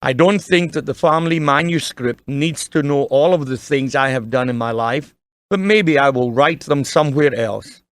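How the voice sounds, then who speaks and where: A man playing a kindly, earnest dying father in an audio drama, gently and solemnly advising his young son. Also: medium pitch, normal speed, no echo, natural voice.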